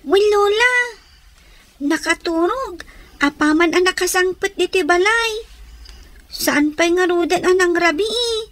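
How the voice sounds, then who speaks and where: A very high-voiced, dutiful young grandchild. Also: female voice, a little slow, long pauses, a bit bright, no echo. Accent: Ilocano. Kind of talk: Ilocano radio drama acting.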